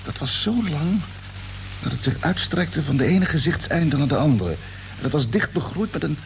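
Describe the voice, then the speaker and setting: An actor playing a drowsy, bewildered space expedition commander in a radio play, speaking haltingly and repeating words. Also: male voice, on the high side, expressive, gravelly. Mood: dreamy recollection. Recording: noisy, humming old radio drama recording.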